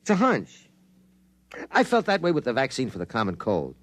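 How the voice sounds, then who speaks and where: A man's voice: medium pitch, huge pitch swings, normal speed. An actor in a 1950s radio drama playing a world-weary male newspaper reporter who narrates the story. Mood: uneasy.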